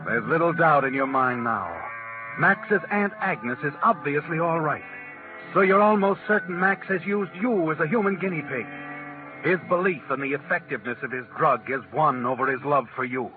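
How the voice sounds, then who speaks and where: A man performs as a dramatic, ominous narrator of a 1940s radio thriller. His voice sits a little high over loud music, on a narrow old broadcast recording. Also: normal speed, close to an everyday voice. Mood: ominous, urgent. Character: ominous male narrator addressing the heroine.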